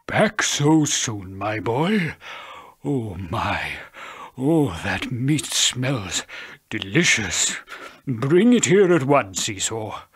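A man voicing an old, blind, solemn father with a husky, breathy voice and slow, drawn-out delivery, as in a storybook. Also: mid-range voice, sing-song, heavily acted. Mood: eager, savoring.

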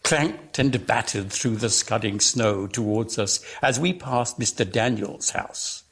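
A man giving a dramatic literary reading as storyteller, with theatrical, deliberate, emphatic phrasing. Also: lowish voice, a bit bright, husky. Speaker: reader of a story.

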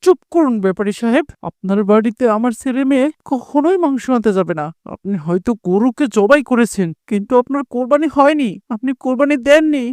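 Man acting a high-voiced, earnest poor villager. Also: lively intonation, fairly quick, hardly any pauses, darkish tone, dry recording. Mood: indignant. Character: poor, wronged village man, pleading yet righteous. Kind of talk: cartoon voice acting.

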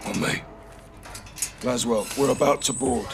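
A man with a British accent playing a gruff, seasoned special forces captain in a military game, terse and commanding. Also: medium pitch, a little slow, music in the background, natural voice. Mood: hushed, commanding. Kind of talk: cinematic military game acting.

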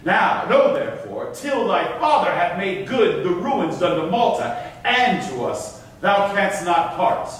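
An actor playing a stern, triumphant governor of Malta, declaiming Elizabethan verse on a theatre stage with command. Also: male voice, high voice, animated, a little slow, fairly bright tone. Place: on stage in an auditorium. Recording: echoey theatre stage, distant microphone.

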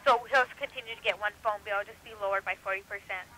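A woman telemarketer giving a phone sales pitch in an informal, persistent manner. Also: fast, sharp tone. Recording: noisy telephone line.

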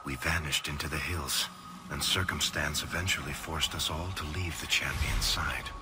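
A middle-aged dwarf adventurer in a video game. His voice is deep, his delivery wry and theatrical, like a practiced teller of tales. Music plays clearly behind him. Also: fairly even intonation, normal speed. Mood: somber narration. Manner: sardonic, dramatic storytelling. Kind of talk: video game voice acting, narrative.

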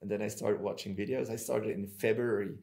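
A Swiss man in his thirties hosts a casual podcast from a small room at home. He tells his stories in an animated, chatty way. The sound comes through earbuds on a video call. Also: lowish voice, brisk, creaky. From Switzerland. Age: 30s. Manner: chatty, animated storytelling with hand gestures. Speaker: podcast host.

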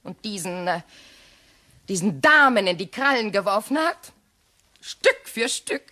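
A woman's voice: mid-range voice, lively intonation, bright, forceful tone. A woman playing a proud, bitter, middle-aged betrayed wife in a crime radio drama, theatrical and sarcastic. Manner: theatrical, sarcastic, deliberate repetition.

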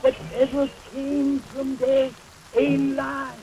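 A man's voice: extremely high pitch, completely flat delivery, takes it slowly, warm tone, full character voice. An older man acting a grave, fearful old witch doctor in a 1930s horror film, solemn and ominous. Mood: grave warning. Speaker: film actor. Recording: noisy 1930s film soundtrack under rain. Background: rain storm.

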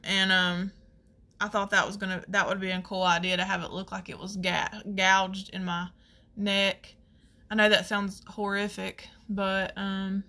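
A woman with a Southern US accent chatting casually through a makeup tutorial, folksy and rambling as she thinks out loud. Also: medium pitch. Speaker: makeup artist hosting a tutorial.

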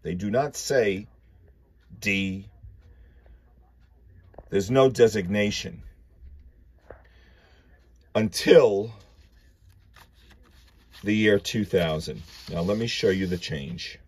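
A man hosting a ham radio channel, explaining in a slow, methodical, step-by-step way with a few fillers, from his home radio room. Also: lowish voice, breathy edge. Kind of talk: casual show-and-tell explanation. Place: home radio shack full of equipment.

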